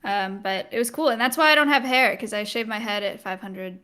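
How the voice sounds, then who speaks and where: A woman's voice: mid-range voice, fairly quick, pushed and bright, clear, resonant tone. A woman in her early twenties talking casually as a co-host on a podcast. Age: 20s. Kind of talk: casual chat.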